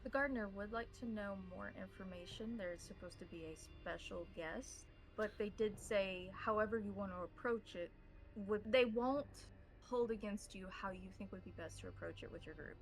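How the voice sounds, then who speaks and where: A woman narrating a tabletop role-playing game as game master, in measured, formal wording. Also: medium pitch, a little hiss or hum, rough recording.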